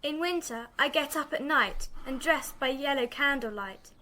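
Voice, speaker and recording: High-voiced woman reciting verse. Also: normal speed, sharp tone. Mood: earnest, declaiming. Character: earnest female amateur poetry reciter in village. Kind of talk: poetry recitation in radio drama.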